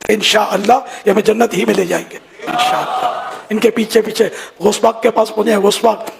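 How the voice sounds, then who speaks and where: High, husky, creaky-voiced male preacher. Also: steady tone, a little fast, noisy background. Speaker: religious leader. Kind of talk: informal sermon to followers.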